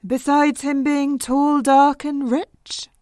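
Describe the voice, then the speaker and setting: A woman's voice: high voice, little pitch movement, slow, a little mellow. A woman playing an eager, romance-minded superhero, playful and wheedling, in an audio comedy.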